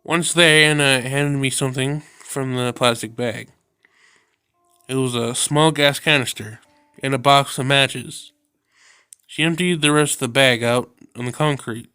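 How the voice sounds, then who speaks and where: A man reading a visual novel aloud, telling the story in a subdued, steady way. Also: medium pitch, measured pace, smooth, dry recording, clean studio sound. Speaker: video game narrator.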